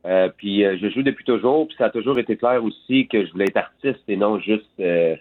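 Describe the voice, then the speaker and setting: A man, a guitarist speaking relaxed and informally as an interview guest. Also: fairly low pitch, fairly quick. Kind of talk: casual interview chat. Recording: tinny phone line.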